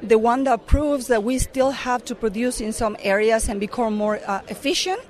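Middle-aged woman from Mexico, Mexican accent, fairly high voice, earnest interviewee who pauses and restarts. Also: a little mellow. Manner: earnest, with frequent fillers and restarts. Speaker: corporate affairs director. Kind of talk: conference interview answers.

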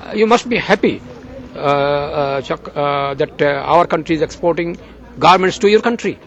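An older man from Bangladesh answers interview questions outdoors with slow, earnest conviction. His voice sits a little high for a man, and he lingers on words as if preaching. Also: vocal fry, dull audio. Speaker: agricultural journalist, guest.

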